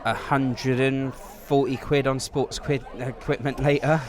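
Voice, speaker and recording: A man's voice: medium pitch, little pitch movement, measured pace, crowd noise. A man telling casual anecdotes in conversation, with a dry, self-deprecating sense of humour. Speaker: podcast guest.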